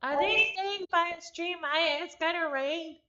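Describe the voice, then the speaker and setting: A woman streamer reading a visual novel aloud, expressive and given to breaking off into exasperated, chatty commentary. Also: very high-pitched, unhurried, muffled recording, background noise. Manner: expressive, breaks off narration to exclaim and repeat words in exasperation.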